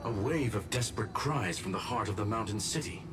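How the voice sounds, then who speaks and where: A man playing a courteous, grave, analytical robot scholar in a video game story, speaking in formal, measured statements. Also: fairly low pitch, background noise, close to an everyday voice. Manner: formal, clipped announcements, measured. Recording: loud music behind the voice.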